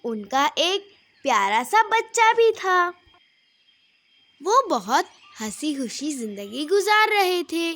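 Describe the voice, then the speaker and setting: A woman's voice: high-pitched, sing-song, takes it slowly, fairly bright tone. A woman narrating a scripted fable for children as a storyteller.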